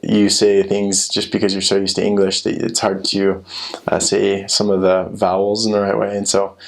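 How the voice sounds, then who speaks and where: A young man with an American accent talks in a loose, informal, rambling way. His voice sits low with a gravelly fry, and his intonation stays fairly flat as he shares recollections. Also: normal speed. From United States. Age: young adult. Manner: rambling, informal, frequent "like" and "you know". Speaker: former missionary sharing experiences. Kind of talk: casual chat.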